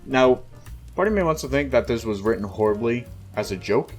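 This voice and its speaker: A man's voice: medium pitch, animated, a little fast, faint music behind. A man doing a casual, mocking read-aloud with exasperated, sweary asides. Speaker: host reading a fan fiction aloud with commentary.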